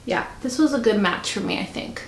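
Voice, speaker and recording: A woman with a cold talking casually through a makeup review, chatty and soft-spoken, sometimes close to a whisper. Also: fairly high pitch, lively intonation, a little fast. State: stuffy, fighting a cold. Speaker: beauty vlogger. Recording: echoey room, faint noise.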